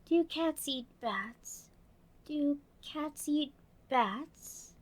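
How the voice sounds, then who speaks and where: A woman's voice: high-pitched, lively intonation, drawn-out words, voice pushed up, heavily acted. A woman voicing a naive, curious little girl who muses aloud to herself in a storybook. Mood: dreamy. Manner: wondering, musing aloud to herself. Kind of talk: storybook character voice.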